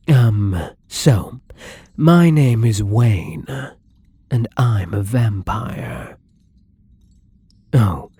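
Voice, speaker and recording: A man playing a shy, gentle, newly turned vampire in an intimate ASMR roleplay, speaking softly and haltingly in a breathy voice that often slips into whispers. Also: on the low side, full character voice. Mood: nervous, polite. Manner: hesitant, halting, polite, soft-spoken.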